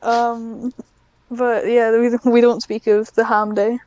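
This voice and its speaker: High-voiced young British woman, chatty and rambling. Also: level tone, slow pace, darkish tone, no room sound, dull audio. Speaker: podcast guest. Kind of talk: casual chat.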